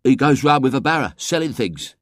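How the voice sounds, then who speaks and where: A man's voice: medium pitch, animated, fairly quick, no room sound, clean studio sound, voice pushed up, lightly acted. A man voicing a blunt, scornful, offhand boy with a working-class English accent. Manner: offhand, disdainful. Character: scornful, blunt poor boy in a big cap.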